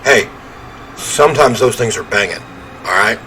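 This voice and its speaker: Low-voiced man doing casual let's-play. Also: moderate pace. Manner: chatty, informal asides. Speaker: gaming video host. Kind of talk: casual let's-play commentary, reading game text aloud. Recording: game sound and noise behind voice.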